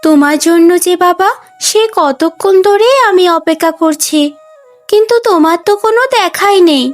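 একজন মহিলা কার্টুন গল্পে স্নেহময়ী, কর্তব্যপরায়ণ তরুণী ছোট বোনের চরিত্রে কণ্ঠ দিচ্ছেন, কথায় নরম অনুনয় আর যত্ন।. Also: female voice, extremely high pitch, normal speed, faint music behind. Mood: concerned, caring. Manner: gentle, pleading, caring. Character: sweet, dutiful, affectionate young younger sister. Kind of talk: Bengali cartoon story voice acting.

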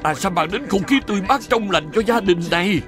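A man's voice: high-pitched, animated, brisk, music in the background. A man voicing a pushy but polite wind and sand spirit in a children's cartoon, with an insistent, declamatory manner. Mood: boastful insisting. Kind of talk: dubbed children's cartoon acting.

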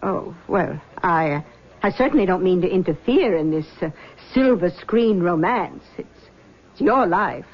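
A woman's voice: lowish voice, expressive, measured pace, husky. An actress playing a doting but meddling, disapproving middle-aged mother, coaxing and pointed, in a 1970s radio drama. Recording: dull vintage radio recording.

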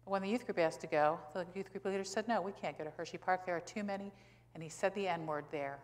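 An American woman in her sixties, a pastor giving a sermon in a conversational, emphatic way with rhetorical questions and pauses for stress. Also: fairly low pitch. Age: 60s. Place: church sanctuary, indoors at a pulpit. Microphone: pulpit gooseneck microphone. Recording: reverberant church hall.

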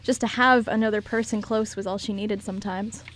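A woman's voice: mid-range voice, fairly even intonation, fairly quick, fairly bright tone. A woman reading scripted story narration as the narrator of an amateur radio drama.

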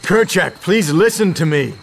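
A man playing an earnest, pleading young wild man of the jungle in a video game, speaking simply and haltingly. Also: sits high, lively intonation, gravelly, slight background noise. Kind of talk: animated video game acting.

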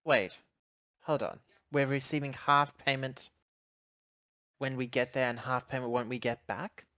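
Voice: mid-range voice, fairly even intonation, measured pace, fairly bright tone, dry recording, highs cut off, lightly acted. A player voicing a wry, argumentative woman who is acting captain of a spaceship in a tabletop game, informal and sarcastic. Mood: grudging.

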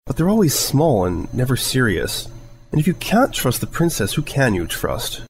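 A man's voice: medium pitch, sing-song, moderate pace, slight background noise, voice pushed up. Man voicing an earnest unicorn mare. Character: earnest, bookish young female unicorn pony.

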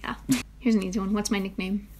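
A woman's voice: mid-range voice, flat delivery, fast talker, darkish tone, a little hiss or hum. A young woman in a small bedroom, reading quiz questions to her fiancé in a giggly, teasing way, picked up by a camera on a tripod. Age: young adult. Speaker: vlog co-host asking questions. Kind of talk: casual couple chat.

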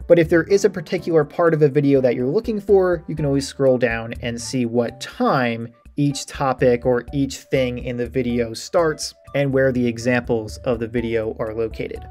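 A man's voice: medium pitch, fairly even intonation, moderate pace, few pauses, darkish tone. Man giving a casual tutorial over music. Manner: informal, explanatory. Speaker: math tutorial creator giving a site tour. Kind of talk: casual screen-share walkthrough. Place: voice-over for a screen recording.

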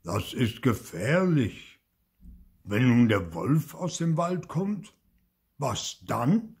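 An older man voicing a stern, worried old grandfather in a storybook reading, giving slow grumbling warnings. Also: mid-range voice, big pitch swings, vocal fry, darkish tone, husky, full character voice.

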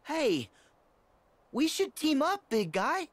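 A man's voice: very high voice, sing-song, takes it slowly, a little hiss or hum, heavily acted. A man voicing an earnest, upbeat teenage boy hero in a video game, with an eager, exclamatory manner. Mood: eager, friendly. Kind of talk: animated video game acting.

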